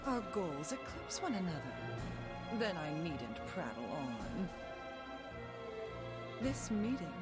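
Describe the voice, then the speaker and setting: Elegant zealot villain lady, slow theatrical courtly talk, anime game w loud music. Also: mid-range voice. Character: elegant, zealous villainess, self-styled shepherd of pilgrims. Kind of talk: English-dubbed anime game acting.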